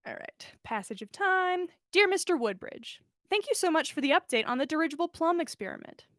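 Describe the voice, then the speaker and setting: Woman reading a story out loud for a podcast, high voice, really animated. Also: a little fast, dry recording, studio quality. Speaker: podcast host reading fan fiction.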